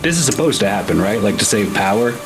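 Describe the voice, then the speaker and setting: A man playing an eccentric, oblivious resort guest who rambles in a deadpan, unbothered way in a tabletop game. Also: fairly low pitch, quick pace, music in the background.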